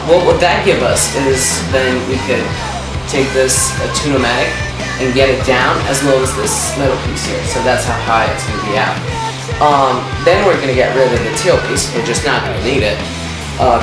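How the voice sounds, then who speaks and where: A man from Russia with a Russian accent, his first language being Russian, casually explaining his guitar design in halting, filler-heavy speech. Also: medium pitch, echoey room, low-quality recording. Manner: hesitant, many fillers and restarts. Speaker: guitar design contest entrant.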